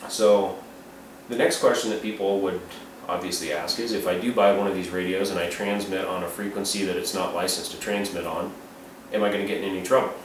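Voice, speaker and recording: A man's voice: deep voice, fairly even intonation, a little fast. A man giving an informal, unscripted product review and explainer in a plain-spoken, matter-of-fact way. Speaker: reviewer and amateur radio hobbyist. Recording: roomy, distant mic, clearly noisy.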